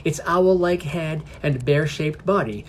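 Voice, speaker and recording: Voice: medium pitch, unhurried, slight background noise. A narrator reading a scripted fantasy story for a podcast, in prose with acted dialogue.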